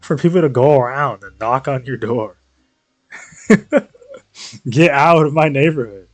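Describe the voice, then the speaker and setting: A gaming streamer with a mid-pitched voice that swings around a lot, recorded close and dry. The talk is rambling, casual and sweary, full of jokey asides to chat. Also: lively intonation, slow, dry recording. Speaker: livestreamer playing a game. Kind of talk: casual livestream chat and game reactions.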